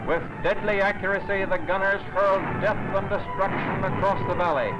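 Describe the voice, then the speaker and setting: High-pitched 1940s newsreel man, lo-fi. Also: steady tone, moderate pace. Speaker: newsreel narrator. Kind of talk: 1940s wartime newsreel narration, scripted. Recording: dull lo-fi 1940s newsreel soundtrack. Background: loud music.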